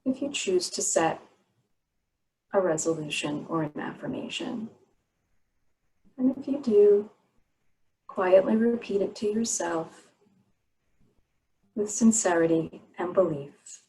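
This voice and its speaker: A woman leads a guided relaxation practice as a meditation teacher. Her mid-pitched voice gives soothing instructions in short, unhurried phrases, leaving pauses between them. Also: expressive, mellow, a little hiss or hum, poor audio. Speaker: yoga nidra meditation teacher.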